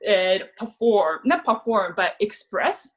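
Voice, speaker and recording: A woman from Japan, speaking English with a Japanese accent, telling her story as an actress guest in a casual interview, chatty and quick to laugh. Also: mid-range voice, very animated. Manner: chatty, laughs often, restarts sentences.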